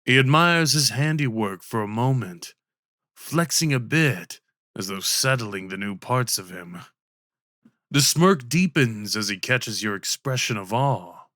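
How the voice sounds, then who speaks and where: A man narrating a scripted ASMR roleplay story with measured, deliberate delivery. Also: medium pitch, sharp tone, no room sound, clean studio sound.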